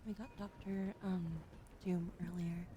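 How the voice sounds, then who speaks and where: A woman hosting a livestream, doing an ASMR card reading in a soft, gentle whisper. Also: on the low side, little pitch movement, a little slow, a little hiss or hum. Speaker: livestream host.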